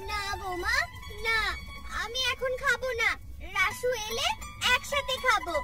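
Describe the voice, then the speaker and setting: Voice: extremely high pitch, a little slow. A performer voicing a bossy, nagging older mother-in-law in a family cartoon, scolding and complaining. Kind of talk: cartoon voice acting. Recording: distant voice under loud music.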